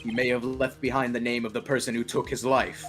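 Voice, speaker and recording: A man's voice: medium pitch, flat delivery, brisk, a bit bright, lightly acted. A man voicing a grave, formal man of the law in a fan dub of a courtroom game. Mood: grave, solemn. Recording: music under the voice.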